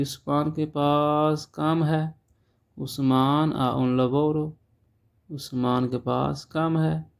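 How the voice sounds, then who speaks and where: A man's voice: medium pitch, creaky, darkish tone. A man teaching a language lesson, explaining patiently and checking in often with his listeners.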